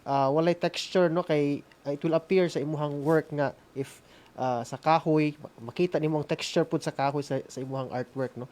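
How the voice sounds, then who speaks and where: A Filipino host with a Visayan accent speaks in a medium-pitched voice. The delivery is casual and informal, mixing languages and often ending phrases with a checking "no?", as in an online class. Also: fairly even intonation, a little fast, darkish tone. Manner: frequent "no?" tags, informal. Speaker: host of a school-on-the-air program. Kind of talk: casual online class hosting, code-switching.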